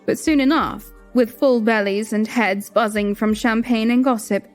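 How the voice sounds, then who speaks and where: A woman playing a composed, dutiful young queen, narrating an audio drama in a measured, refined and formal way. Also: a little high, steady tone, soft background music, close to an everyday voice. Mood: composed, descriptive.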